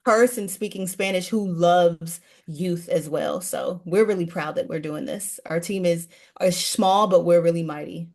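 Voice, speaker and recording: A woman's voice: fairly low pitch, unhurried, creaky, few pauses, darkish tone. A woman guest running a language program, chatting earnestly in a casual interview.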